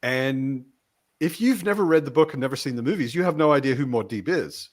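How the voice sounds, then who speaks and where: A man's voice: medium pitch, lively intonation, fairly quick. A man chatting casually as a panelist in a book discussion, often voicing imagined reactions.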